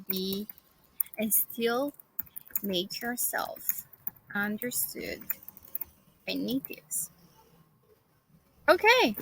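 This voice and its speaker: A woman copywriter talks through her research for a lesson, reading snippets aloud and pausing to weigh them. Her pace is unhurried and her voice is bright. A faint background noise runs under the recording. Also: medium pitch, low-quality recording. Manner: musing, reading search results aloud, frequent fillers. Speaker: copywriting tutor. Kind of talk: screen-share tutorial, thinking aloud.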